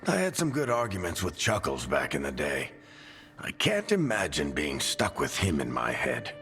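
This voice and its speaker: An actor playing a weathered veteran adventurer in a video game, a wry, folksy and reassuring mentor. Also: male voice, very deep voice, huge pitch swings, normal speed, creaky, a bit bright, full character voice. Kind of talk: video game dialogue acting. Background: background music.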